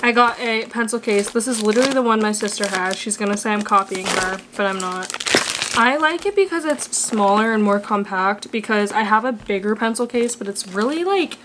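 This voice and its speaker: A woman's voice: medium pitch, fairly quick, background noise. A young woman vlogging in a chatty, bubbly, rambling way. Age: young adult. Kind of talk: casual vlog chat.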